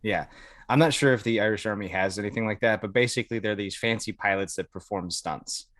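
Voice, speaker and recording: A man's voice: on the low side, a little fast, a bit bright, no echo, clean studio sound. An American man casually telling stories as a podcast guest, speaking informally with some swearing and acted-out bits of dialogue. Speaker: podcast guest, Air Force veteran. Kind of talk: casual storytelling.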